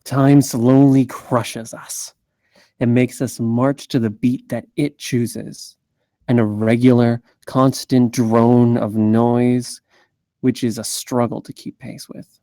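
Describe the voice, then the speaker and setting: Man acting a mellow, brooding noir investigator. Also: mid-range voice, flat delivery, slow, dry recording. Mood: bitter, brooding. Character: weary hard-boiled male investigator rabbit, brooding and cynical. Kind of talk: scripted table read, noir monologue.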